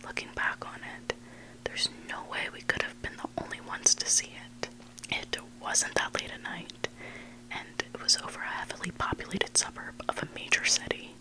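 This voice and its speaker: Hushed whispering ASMR storyteller, sex indistinct. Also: poor audio. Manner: hushed, deliberate, pausing between short phrases. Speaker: ASMR host reading submitted online stories.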